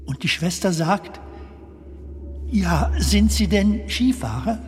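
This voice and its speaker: Echoey radio drama w music, a confused curious comedian, halting n slow. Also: male voice, high voice, lively intonation. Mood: wistful, hushed. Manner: halting, puzzled, questioning. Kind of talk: German radio play acting. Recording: long cavernous reverb, loud music underneath.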